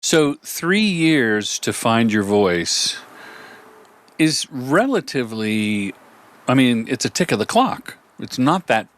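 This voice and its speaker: Male podcast host, jokey and animated, mid-pitched with a lively range. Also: takes it slowly. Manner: jokey, riffs playfully, animated. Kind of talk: casual interview chat.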